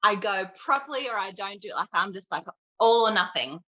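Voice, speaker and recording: A young woman with a Queensland Australian accent, chatting as an interview guest and telling stories with animated energy. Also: medium pitch, a little fast, muffled recording. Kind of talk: casual chat.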